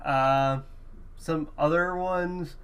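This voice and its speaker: A man's voice: fairly high pitch, steady tone, drawn-out words, fairly bright tone, smooth. A man co-hosting a podcast in a casual, conversational style. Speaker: podcast co-host. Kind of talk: casual chat about movies and games.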